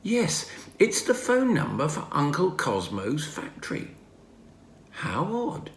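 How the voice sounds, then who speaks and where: Man acting puzzled storybook dad, raised pitch. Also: huge pitch swings, slow, full character voice. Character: helpful, puzzled father studying a clue. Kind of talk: children's storybook character reading. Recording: roomy home recording, faint noise.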